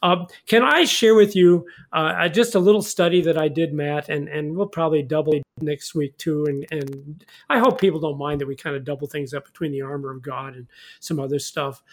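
A man's voice: fairly high pitch, brisk. A man leading a conversational Bible study in a folksy, informal way, often putting leading questions to his partner. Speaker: host of a Bible study discussion.

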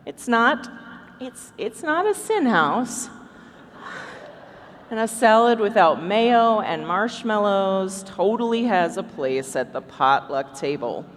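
A woman's voice: mid-range voice, animated, slow. A woman podcast host speaking on stage in a live show at a convention. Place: large indoor convention hall. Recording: echoey convention hall, background noise.

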